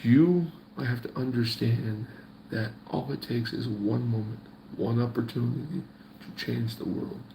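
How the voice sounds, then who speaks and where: Man, gravelly mid-pitched voice, folksy motivational faith vlogger, echoey room. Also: fairly even intonation, a little slow, warm tone, a little hiss or hum. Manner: preachy, repetitive, folksy. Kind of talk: casual motivational talk to camera.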